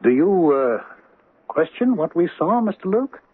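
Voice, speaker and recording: A polite, troubled banker in a radio play. His husky voice moves in lively swings, with formal, hesitant phrasing. The sound is thin and tinny, like an old broadcast. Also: a little high, a little slow, dry recording, exaggerated voice. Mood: puzzled, insistent. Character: courteous, troubled, well-off older male banker. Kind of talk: old-time radio drama acting. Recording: tinny band-limited radio drama recording.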